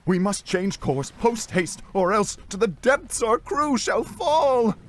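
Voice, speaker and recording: A man's voice: high-pitched, lively intonation, slow, a bit bright, pitched above the performer's own voice, exaggerated voice. A man voicing a frightened, desperate young deckhand boy who pleads in archaic nautical language. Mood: urgent pleading.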